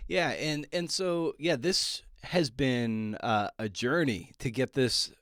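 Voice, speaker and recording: A man's voice: medium pitch, takes it slowly, fairly bright tone, dry recording, clean studio sound. A man hosting a podcast, talking casually and colloquially with playful asides as he introduces and interviews.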